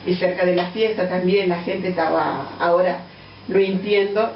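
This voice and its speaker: A woman's voice: lowish voice, steady tone, normal speed, slight background noise. Angolan woman speaking Spanish, distant and roomy. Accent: Angolan Portuguese. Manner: thoughtful, hesitant with many fillers. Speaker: interview guest, author. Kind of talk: radio interview. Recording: distant, roomy, dull lo-fi radio sound.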